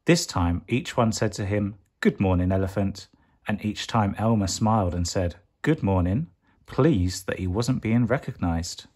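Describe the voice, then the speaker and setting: A man reading a children's picture book aloud as a bedtime story, expressively and deliberately, stretching key words for emphasis. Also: fairly low pitch, darkish tone, slightly rough.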